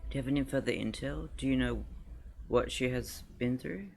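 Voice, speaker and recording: Game hero: man as young male traveler, earnest, hesitant, mid-pitched with some fry. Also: moderate pace, heavy background noise, close to an everyday voice. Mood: shaken, urgent. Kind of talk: video game voice acting.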